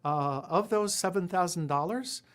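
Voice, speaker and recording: A man's voice: high-pitched, normal speed, a bit bright. A man in his forties, raised in Puerto Rico with Spanish as his first language, telling his story in a casual interview with lots of fillers and self-deprecating asides. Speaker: guest, lawyer and entrepreneur.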